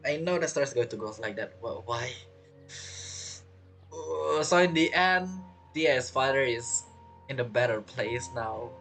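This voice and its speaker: Animated game streamer with non-native English. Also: mid-range voice, unhurried, gravelly, soft background music. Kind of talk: casual let's play commentary.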